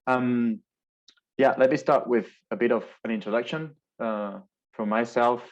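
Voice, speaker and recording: A man's voice: medium pitch, fairly even intonation, a little slow. A young man from Spain with a Spanish accent, giving an earnest online talk and often repeating words as he searches for them. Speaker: alumni speaker. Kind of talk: virtual presentation. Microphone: video call. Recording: dull lo-fi video call audio.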